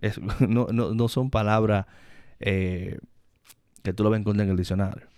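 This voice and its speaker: A man's voice: lowish voice, steady tone, moderate pace, darkish tone, clean tone, dry recording, studio quality. A man with a Dominican Spanish accent, talking casually and slangily as a podcast co-host, often repeating words as he goes. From Dominican Republic. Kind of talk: casual conversational chat.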